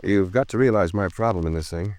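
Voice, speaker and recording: A man's voice: low-pitched, brisk, a little mellow, natural voice. A man playing an earnest, cautious American attorney in a 1950s radio drama, explaining himself formally and at length. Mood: earnest, concerned. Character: earnest, cautious male attorney, talkative and proper.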